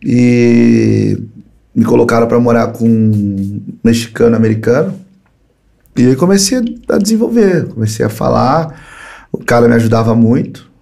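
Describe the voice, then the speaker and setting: A man's voice: medium pitch, normal speed, creaky. A middle-aged man from Brazil, a company CEO, telling stories animatedly as a podcast guest, dropping "cara" and "né" often. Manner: animated storytelling, frequent "cara" and "né". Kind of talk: casual podcast conversation. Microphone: podcast studio mic.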